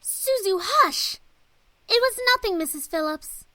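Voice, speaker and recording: A woman voicing a fiercely loyal, excitable high school girl in a visual novel, speaking emphatically. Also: a little slow, dry recording, full character voice. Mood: urgent hushing. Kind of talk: visual novel character acting.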